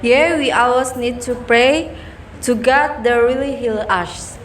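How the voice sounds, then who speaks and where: Young woman host, high voice, reads off a script, slight noise. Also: takes it slowly, fairly bright tone. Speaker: talk show host. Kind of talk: student talk show hosting.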